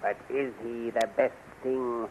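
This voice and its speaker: An actor playing an old, scheming royal magician in a 1930s radio serial, pompous, deferential and insinuating. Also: male voice, mid-range voice, level tone, slow pace, a little mellow, highs cut off, natural voice. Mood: obsequious, cautioning. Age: older. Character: smooth-talking old male royal magician, obsequious schemer. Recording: noisy 1930s radio recording.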